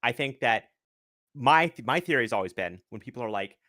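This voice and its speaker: A young man chatting casually on a livestream, animated and swearing, acting out quotes. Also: medium pitch, fairly quick, dry recording, studio quality. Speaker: livestream co-host. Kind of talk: casual chat.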